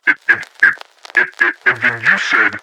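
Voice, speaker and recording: A man playing a confused detective in an amateur horror drama, speaking haltingly with stammers. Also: mid-range voice, steady tone, bright, forceful tone, slight background noise, poor audio. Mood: confused, uneasy. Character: distressed, confused detective piecing together memories.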